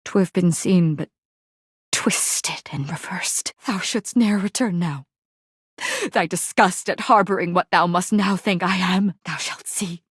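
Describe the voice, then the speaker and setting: A woman's voice: lowish voice, measured pace, slightly rough, no echo, studio quality. A woman voicing a proud, melodramatic warrior general in a video game, speaking in formal, theatrical, Shakespearean old English. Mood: heartbroken. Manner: grandiose archaic Shakespearean speech, formal and theatrical.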